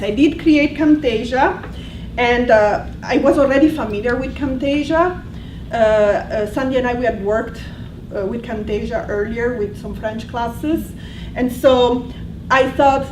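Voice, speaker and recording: Italian-accented woman presenting in an echoey room. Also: on the high side. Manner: explains patiently, with frequent restarts. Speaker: language teacher presenting a workshop. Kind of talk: conversational presentation. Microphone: distant room mic. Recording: echoey room from far off with background noise.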